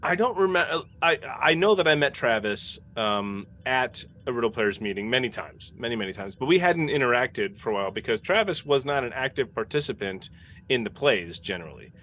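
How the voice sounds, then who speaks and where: Man casually reminiscing over clear background noise. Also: medium pitch, animated, brisk, pushed and bright. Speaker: podcast cast member.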